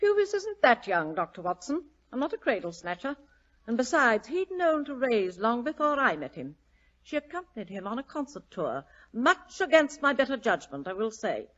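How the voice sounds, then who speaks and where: A woman's voice: on the high side, sing-song, normal speed, a bit bright. An actress playing a vain, imperious, portly middle-aged society lady, grand and melodramatic, in an old-time radio drama. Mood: indignant, vain. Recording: dull old radio broadcast recording.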